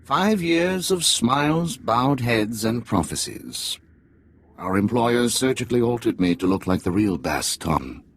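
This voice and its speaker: A man playing a jaded middle-aged undercover spy in a video game, with a gravelly, husky voice and a sardonic, deliberate manner. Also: mid-range voice, big pitch swings, slight background noise. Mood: bitter, disgusted. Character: jaded male Imperial spy posing as alien tea house owner, middle-aged father. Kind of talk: cinematic video game dialogue.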